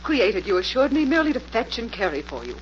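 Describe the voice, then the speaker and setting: A dull, faintly noisy vintage radio recording of a principled young lady of the laboratory. Her voice swings with dramatic feeling, earnest and reproachful, firm even through fear, in old radio-play style. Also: on the high side, fast talker. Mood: pleading, appalled. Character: indignant, principled female laboratory assistant, frightened but firm. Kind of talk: 1940s radio drama acting.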